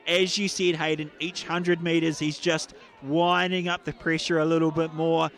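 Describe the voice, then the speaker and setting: Excitable New athletics commentator, man. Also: moderate pace. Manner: excitable, rises in pitch and volume during the race. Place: outdoor stadium.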